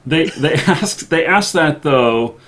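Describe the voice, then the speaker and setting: A man with a soothing voice hosting a casual interview, talking in a hesitant, halting way. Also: fairly high pitch, lively intonation, fairly bright tone. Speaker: show host interviewing guests. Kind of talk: casual chat.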